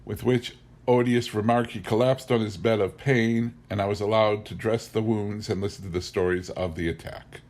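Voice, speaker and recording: A man with a fairly low voice reads a humorous memoir aloud. His delivery is scripted and measured, with a wry storyteller's turn to the phrasing. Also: fairly even intonation, a little mellow. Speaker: audiobook reader.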